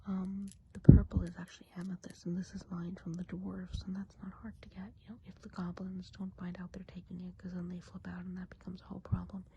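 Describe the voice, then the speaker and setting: A woman doing a hushed, whispered ASMR role play as a friendly woodland witch, explaining things in a gentle, meandering way. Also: lowish voice, completely flat delivery, brisk, few pauses, background noise. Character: friendly Christmas witch of the woods. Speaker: ASMR performer.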